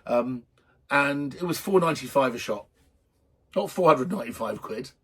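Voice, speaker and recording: Middle aged guy with a husky voice chatting to camera about drinks, rambly, jokey, bit sweary. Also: medium pitch, lively intonation, moderate pace, a bit bright. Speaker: host of a drinks video show. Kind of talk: casual, unscripted chat to camera.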